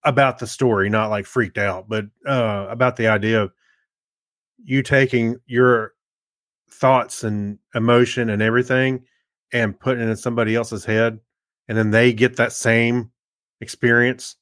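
A man's voice: mid-range voice, fairly even intonation, a little slow, fairly bright tone, no echo, studio quality. A middle-aged man co-hosting a podcast, chatting casually in a hesitant, rambling way. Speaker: podcast co-host. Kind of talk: casual chat about movies.

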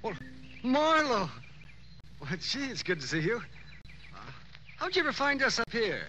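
A man's voice: very high-pitched, sing-song, moderate pace, sharp tone, full character voice. An actor playing a nervously friendly, folksy man with a hesitant, gushing manner in old radio drama style. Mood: eager, friendly. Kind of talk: 1940s radio drama acting. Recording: old radio recording with faint noise.

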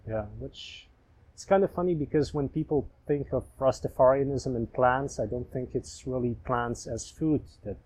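A man's voice: mid-range voice, normal speed, darkish tone, poor audio. A man hosting a podcast interview, curious and engaged, asking follow-up questions. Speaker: podcast host. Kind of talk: casual interview chat.

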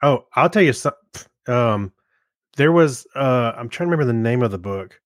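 Male co-host, mid-pitched, casual and meandering, searches for words, dry recording. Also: animated, normal speed, no room sound. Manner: hesitant, rambling storytelling. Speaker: podcast co-host. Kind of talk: casual chat.

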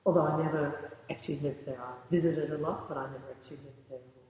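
A woman in her sixties, a yoga teacher, answering interview questions thoughtfully and often pausing to search for words. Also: sits low, fairly even intonation, darkish tone, dull audio. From born in the United States, raised in the Bahamas. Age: 60s. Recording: very echoey room with long reverb.

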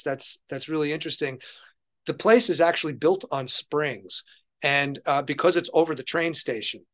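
Guy musician being interviewed, slightly high voice, into it, tells stories in a casual way. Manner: enthusiastic, anecdotal. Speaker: interview guest, touring keyboardist.

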